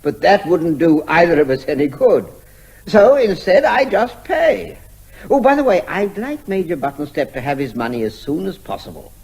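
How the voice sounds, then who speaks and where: An English actor playing a cheeky, unflappable out-of-work performer posing as a neighbour in a comic radio drama, jaunty and wryly genteel. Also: male voice, on the high side, animated, moderate pace, gravelly, a little mellow, breathy edge, dull audio, full character voice. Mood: mock-genteel.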